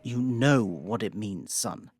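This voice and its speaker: A man's voice: on the low side, lively intonation, slow, husky, full character voice. A man playing a wealthy father and head of the family, commanding and a little smug. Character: wealthy older father, patriarch, self-assured. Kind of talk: fan audio drama acting. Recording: music bed under the dialogue.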